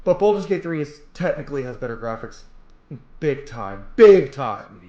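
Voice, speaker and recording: A man's voice: mid-range voice, animated, normal speed, highs cut off, crowd noise. A man giving casual gameplay commentary in an effusive, rambling, informal way. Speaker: gamer doing a playthrough. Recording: roomy, echoey voice pickup.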